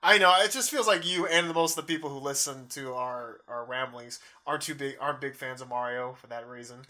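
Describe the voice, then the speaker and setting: A man's voice: mid-range voice, a little fast, sharp tone. A man co-hosting a podcast in casual chat, giving his opinions with enthusiasm. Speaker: podcast co-host. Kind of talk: casual chat about game movies.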